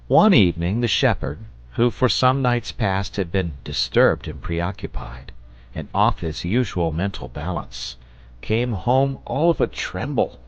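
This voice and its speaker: A man narrating a children's story as an audiobook reader, in an expressive storytelling manner. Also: deep voice, no room sound.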